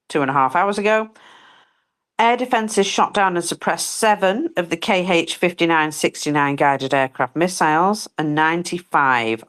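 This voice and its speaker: Low-voiced blunt woman losing her voice. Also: a bit bright. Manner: blunt, swears freely, chatty asides. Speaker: co-host reading daily war figures. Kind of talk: casual live stream commentary.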